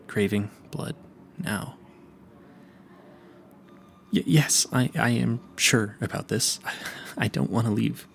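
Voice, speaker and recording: A man's voice: fairly low pitch, gravelly, slight background noise. A man playing a nervous, smitten and clueless vampire hunter in an audio roleplay, stammering and correcting himself as he speaks to the listener. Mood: nervous, eager. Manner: flustered, stammering, halting and self-correcting.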